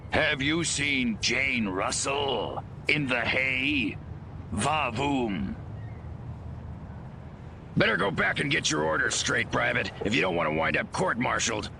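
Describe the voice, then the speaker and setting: An actor playing a gruff, folksy military boatman in a video game, brusque in his manner. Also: mid-range voice, lively intonation, slow pace, sharp tone, slight background noise, exaggerated voice. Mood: brusque, leering. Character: gruff fast-talking human military man guarding a boat. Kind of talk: video game cutscene acting.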